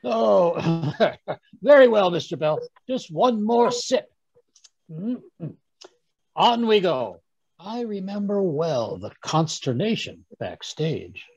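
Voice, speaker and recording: A man plays a genial, stammering old-radio doctor. His husky voice moves slowly with lively swoops. The sound is somewhat dull. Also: sits high, exaggerated voice. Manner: stammering, dithering. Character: genial, hesitant, flustered English doctor and storyteller. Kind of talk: 1930s radio mystery acting. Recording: dull video-call sound.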